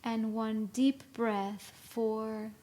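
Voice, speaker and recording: A woman with a slightly high voice leads a guided meditation live. She speaks extremely slowly, in short soothing instructions separated by long pauses. Clear ambient noise sits behind her voice. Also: flat delivery, dark tone.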